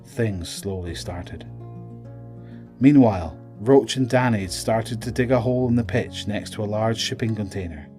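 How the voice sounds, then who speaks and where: A man narrates an audio drama in a low voice. His delivery is scripted and dramatic, and music plays behind him. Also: moderate pace, warm tone.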